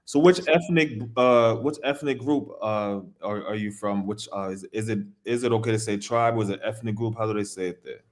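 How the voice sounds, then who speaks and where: A man's voice: fairly low pitch, normal speed, hardly any pauses. A man hosting an interview who asks rambling questions and searches for his words as he goes.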